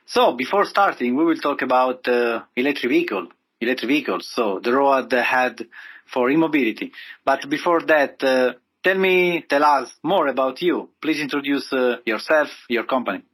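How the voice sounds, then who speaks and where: A man hosting a podcast interview in a halting way, often repeating and rephrasing his phrases. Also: mid-range voice, animated, fairly bright tone. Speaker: podcast host.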